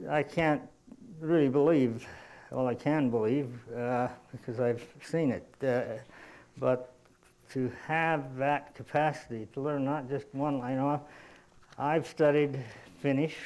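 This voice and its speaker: A man giving a lecture and telling anecdotes to an audience in a measured, halting, explanatory way. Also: mid-range voice, a little mellow, low-quality recording.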